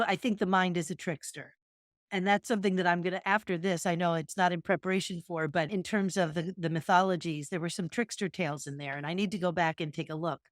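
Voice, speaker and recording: A woman's voice: on the low side, no room sound, studio quality. A woman co-hosting a casual podcast, musing in a meandering way and guiding yoga movements. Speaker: podcast co-host, yoga teacher. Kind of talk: casual reflective conversation.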